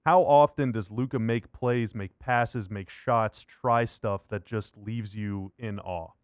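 A man's voice: on the low side, slow, clear, resonant tone, dry recording. Man hosting a casual sports podcast. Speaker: podcast host.

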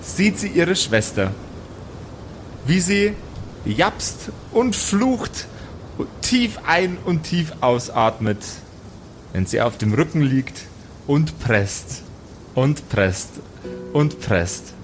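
Male storyteller for tabletop role-play, slightly high-pitched, deliberate scene-setting, light background noise. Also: big pitch swings. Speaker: game master. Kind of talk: narrating an improvised tabletop audio play.

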